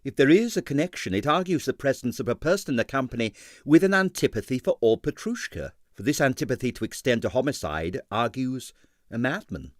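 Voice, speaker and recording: A man narrating a comic detective novel as an audiobook reader, in a measured, dramatic storytelling delivery with emphatic phrasing. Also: medium pitch, expressive, dry recording, clean studio sound.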